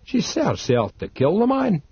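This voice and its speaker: A man's voice: sits high, wildly swooping pitch, a little mellow, no room sound, pitched above the performer's own voice, exaggerated voice. Man doing a dour old retainer. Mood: blunt. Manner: slow, gruff dialect speech. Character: taciturn old male retainer, dour and blunt. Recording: dull dry studio read.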